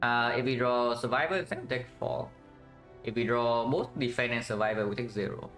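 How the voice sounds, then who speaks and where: A man's voice: medium pitch, normal speed, a bit bright, faint music behind, poor audio. Vietnamese-accented man streaming a card game. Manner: thinks aloud, tag questions "yeah?", chats with viewers. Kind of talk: casual live-stream commentary.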